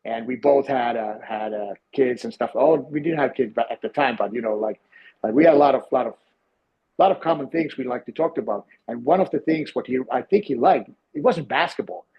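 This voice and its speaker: A middle-aged man with a Finnish accent, a podcast guest who tells stories in a halting, restarting way. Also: mid-range voice, breathy edge. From Finland. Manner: halting, many restarts and fillers. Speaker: guest, former team physiotherapist. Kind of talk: conversational storytelling. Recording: dull, lo-fi sound.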